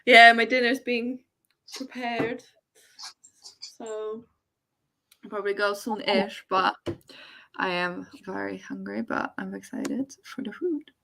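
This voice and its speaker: Woman with a creaky, casual chatting voice. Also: mid-range voice, slow pace, pauses often, a bit bright, poor audio. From Poland. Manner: informal, reads viewer comments aloud. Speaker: live stream co-host. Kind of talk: casual livestream chat.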